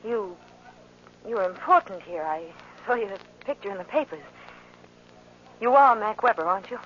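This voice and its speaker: A woman's voice: mid-range voice, expressive, moderate pace, fairly bright tone, close to an everyday voice. An actress playing an intense, guarded young woman, hesitant and brooding, in a 1940s radio drama. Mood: guarded, nervous. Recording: muffled old radio recording, faint noise.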